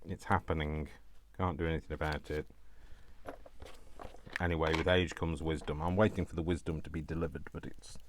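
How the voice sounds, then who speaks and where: Man in his fifties, deep voice, rambling self-mocking crafting host, faint noise. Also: level tone, brisk. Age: 50s. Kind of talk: chatty crafting vlog. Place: home craft room. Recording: faint background noise and clicks.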